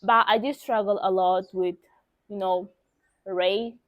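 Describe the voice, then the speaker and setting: A woman's voice: mid-range voice, fairly even intonation, takes it slowly, no echo, dull audio. A young woman from Mozambique with a Mozambican accent and Portuguese as her native language, telling personal stories casually to viewers in a candid, emphatic way. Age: young adult. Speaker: vlogger.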